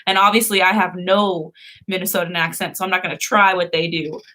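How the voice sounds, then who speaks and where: A woman's voice: on the low side, steady tone, a little fast, bright, forceful tone. A young woman hosting a casual live stream, who chats, laughs often and answers her viewers' comments.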